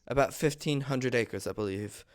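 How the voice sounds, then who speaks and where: A man reading the part of a polite, nervous young gentleman suitor in a stage comedy, formal and deferential. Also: medium pitch, normal speed, close to an everyday voice. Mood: earnest, hesitant.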